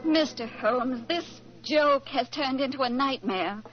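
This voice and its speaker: High-voiced proud society lady. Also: a little slow. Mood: distressed, insistent. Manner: formal, refined, dramatic. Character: proud, genteel titled society lady, politely indignant. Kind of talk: 1940s radio acting. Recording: muffled old-time radio recording.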